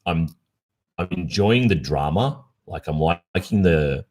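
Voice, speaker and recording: A man's voice: deep voice, expressive, takes it slowly, a little mellow. An Australian man hosting a casual livestream Q&A, chatting informally and reflectively. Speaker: ASMR roleplay creator and livestream host.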